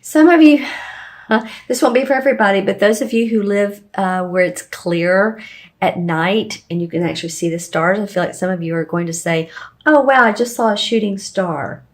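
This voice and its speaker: A woman giving a casual, rambling tarot reading to her viewers, with a slightly hoarse voice and plenty of fillers and restarts. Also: mid-range voice, moderate pace. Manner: meandering, with frequent fillers and restarts. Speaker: tarot reader.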